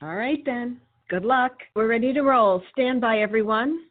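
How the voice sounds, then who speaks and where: Woman acting husky radio producer, slightly high. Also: moderate pace, lightly acted. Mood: teasing. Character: female radio producer, wry, brisk, teasing. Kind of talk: radio drama studio talk and announcing. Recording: thin phone-like audio with music.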